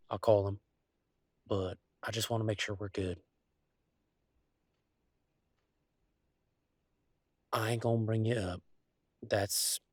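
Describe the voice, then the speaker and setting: A man's voice: on the low side, no room sound, studio quality, natural voice. A man playing an affectionate, easygoing werewolf boyfriend in an intimate roleplay audio, with a folksy, rambling, teasing manner. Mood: earnest, hesitant. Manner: folksy, rambling, playful drawl with colloquial turns. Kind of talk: intimate one-sided roleplay audio, conversational acting.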